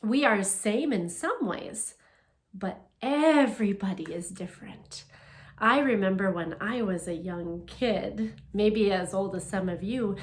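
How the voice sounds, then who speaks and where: A woman teacher leading a read-aloud and art lesson for children, speaking in simple, gentle, encouraging phrases. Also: medium pitch, a little slow. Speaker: elementary school teacher.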